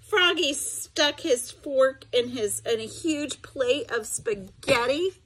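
Middle-aged female storyteller for children; very lively intonation, unhurried, explains things simply. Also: high-pitched, breathy edge. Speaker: special education teacher reading aloud. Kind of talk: picture-book read-aloud with asides to children.

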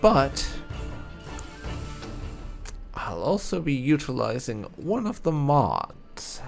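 A man doing roleplay playthrough commentary in a theatrical, drawn-out way. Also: medium pitch, huge pitch swings, creaky, soft background music. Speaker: gaming video host. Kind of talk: roleplay playthrough commentary, reading out character replies.

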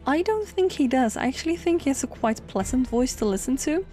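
A woman's voice: lively intonation, a little fast, mellow, soft background music. A young woman chatting casually over a build, rambling with lots of fillers. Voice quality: low singing voice. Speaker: sims speed builder.

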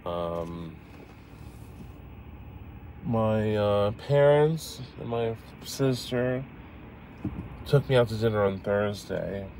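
A man in his forties with a deep, low voice rambles casually to his viewers, chatty and a little self-deprecating. He is recorded on a phone in a moving car at night. Steady engine and road noise runs beneath his voice. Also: extremely slow, long pauses. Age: 40s. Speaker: vlogger live streaming while driving. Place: inside a car driving city streets at night.

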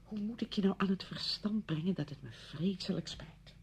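A woman's voice: medium pitch, normal speed, darkish tone, breathy edge. An actress playing a well-meaning, pushy woman friend in a radio play, insistent and easily defensive, full of practical advice. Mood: hurt, defensive. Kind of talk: Dutch radio play acting.

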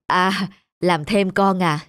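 An actress playing a gentle, weary widowed mother in a cartoon drama, speaking softly and soothingly with some hesitation. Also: fairly low pitch, steady tone, slow pace, no room sound. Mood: reassuring, evasive. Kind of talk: animated drama dubbing.

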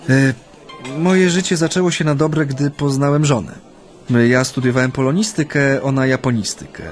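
A man's voice: medium pitch, normal speed, natural voice. Man playing a genial, reflective Japanese husband. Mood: amused, reminiscing. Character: genial Japanese man reminiscing about married life in Poland. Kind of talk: Polish radio drama acting, conversational. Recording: studio radio play with music.

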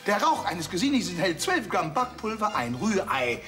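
A man's voice: high-pitched, normal speed. A man playing a cheeky, chatty waiter who sprinkles in Italian phrases in a TV comedy sketch. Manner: lively sing-song delivery, Italian phrases. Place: restaurant studio set. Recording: music and studio audience laughter.

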